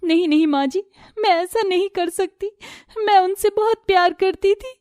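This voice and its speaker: A female storyteller acts a hurt young daughter-in-law in a domestic storybook tale. The voice sits high, well above ordinary narration, timid and pleading, in a dry close recording. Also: normal speed, darkish tone, no echo. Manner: plaintive, timid.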